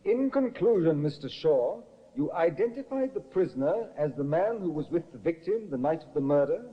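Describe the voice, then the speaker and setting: A man playing a brisk, formal prosecuting barrister. His pitch rises and falls in a lively way. It is heard through a dull old radio recording. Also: lightly acted.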